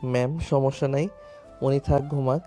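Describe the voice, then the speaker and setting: A man's voice: mid-range voice, fairly even intonation, moderate pace, dark tone, faint music behind, close to an everyday voice. An actor playing a brooding, deferential young married man in a romantic audio story, speaking in a plain, subdued way. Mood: polite, deferential.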